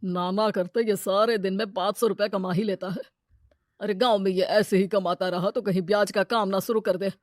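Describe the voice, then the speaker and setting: A woman's voice: mid-range voice, fairly even intonation, moderate pace, no room sound, clean studio sound, close to an everyday voice. A woman voicing a greedy, scheming village moneylender man in a moral story, brash and full of exclamations. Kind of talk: animated moral-story character dialogue.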